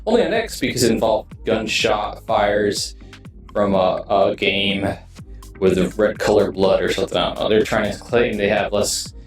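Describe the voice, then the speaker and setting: A man giving casual, rambling streamer talk, halting and often trailing off mid-sentence. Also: lowish voice, animated. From United States. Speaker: video game streamer. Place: indoors in his streaming room setup. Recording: roomy echo, distant mic, music behind.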